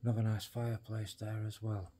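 A British man giving casual, low-key running commentary, musing aloud as he explores. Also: flat delivery, fairly quick, dark tone. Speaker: urban explorer and vlogger. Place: inside a large derelict building, walking. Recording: faint background noise, indoor ambience.

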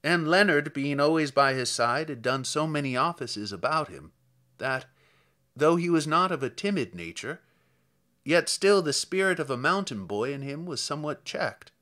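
A man voicing a kindly old village priest who recalls the dead, reading dramatic poetry in a measured, solemn, sorrowful way. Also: a little high, lively intonation, pushed and bright.

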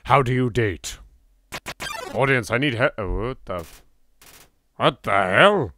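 Animated British man commentating a game. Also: mid-range voice, unhurried, noisy background. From England. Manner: exclamatory, bantering, swears freely. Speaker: let's play host. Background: game music.

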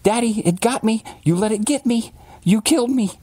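A man's voice: moderate pace, warm tone, slightly rough, dry recording. A man voicing a terrified, pleading small child in a horror story. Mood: accusing, pleading. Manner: shrill, pleading.

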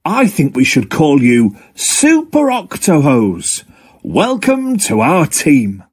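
Storybook firefighter dog played by a man: earnest, encouraging, emphatic, animated pitch. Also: slow pace, heavily acted. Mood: encouraging, proud. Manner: clear, instructive, emphatic. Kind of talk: children's storybook character reading.

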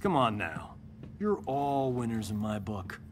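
An actor playing a cocky, wisecracking middle-aged action hero in a video game, with a flippant, breezy manner. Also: male voice, on the low side, sing-song, measured pace, a little hiss or hum. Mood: teasing, then brisk. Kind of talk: video game cutscene acting.

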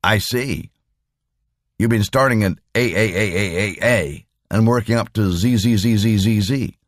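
A courteous company scientist , played by a male audiobook narrator, questions in a businesslike, slightly baffled way. The voice is low, with a dry studio-like closeness. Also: measured pace, dry recording. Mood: puzzled. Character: polite, puzzled male computer company doctor.

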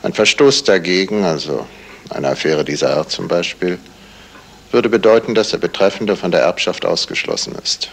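A composed male police detective in a seventies radio mystery. His voice is very low and gravelly. He questions people formally, with measured and pointed phrasing. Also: a little hiss or hum. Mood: probing, composed. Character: probing, composed male Scotland Yard detective. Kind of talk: 1970s German radio crime drama acting.

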